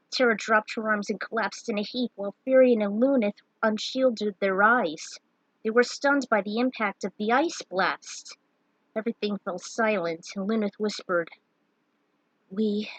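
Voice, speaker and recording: A woman's voice: medium pitch, no echo, rough recording. A woman reading a scripted fantasy adventure story aloud as a dramatic narrator. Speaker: storyteller reading fan fiction.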